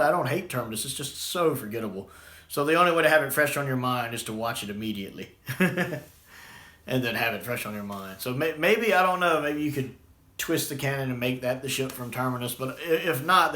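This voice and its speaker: A man's voice: mid-range voice, sharp tone. A man giving a casual, unscripted review in a rambling, conversational way. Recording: echoey room sound.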